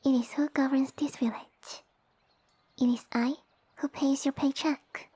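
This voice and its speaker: A woman voicing a small, sassy female lamia who rules her village, speaking softly and breathily with formal, imperious phrasing in an ASMR roleplay. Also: sits high, steady tone, measured pace, no echo. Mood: proud, imperious. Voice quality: soft, breathy, whispery. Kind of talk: ASMR roleplay audio addressed to the listener.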